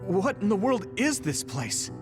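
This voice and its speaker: A young soldier from an action role-playing game. He sounds gentle and earnest, with a lightly raised pitch and lively movement in his voice. Music plays behind him. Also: measured pace. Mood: shocked. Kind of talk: video game cutscene acting.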